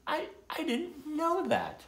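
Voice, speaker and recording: A man's voice: extremely high pitch, wildly swooping pitch, takes it slowly, fairly bright tone, pitched above the performer's own voice, full character voice. A man voicing an eager, naive, easily frightened young turtle for a children's storybook, exclaiming earnestly with a slight stammer. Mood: naive wonder. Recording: roomy echo.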